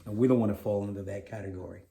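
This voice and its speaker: Earnest Venezuelan-accented man, lowish voice. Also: fairly even intonation, creaky, dark tone. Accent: Venezuelan Spanish. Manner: earnest, halting with many restarts. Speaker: podcast co-host. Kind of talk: casual community podcast chat.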